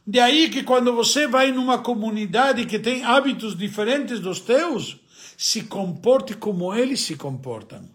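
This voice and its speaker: A man's voice: very high voice, lively intonation, a little slow, fairly bright tone. A man with an Argentine accent, a native Spanish speaker, gives a religious lesson, asking and answering his own questions with frequent hesitations. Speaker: rabbi teaching the weekly Torah portion. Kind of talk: religious teaching talk.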